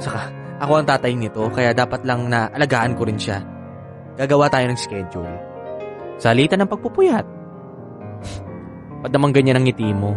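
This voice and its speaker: Man acting a flamboyant, animated doting dad. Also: mid-range voice, moderate pace, pauses often, music in the background, natural voice. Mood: playful, assured. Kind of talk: Filipino radio drama acting.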